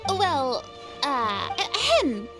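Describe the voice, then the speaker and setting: A woman's voice: high-pitched, wildly swooping pitch, over music, exaggerated voice. A woman playing a self-important, theatrical young film director in a video game, dramatic and haughty. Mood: hesitant, musing. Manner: dramatic, haughty, with musing pauses. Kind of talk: anime-style video game dialogue.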